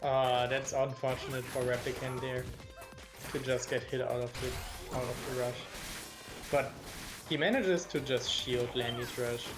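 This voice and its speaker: A man with a German accent doing live play-by-play commentary on a match, quick and engaged as he reacts to the action. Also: mid-range voice, fairly even intonation. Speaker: esports commentator. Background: game music.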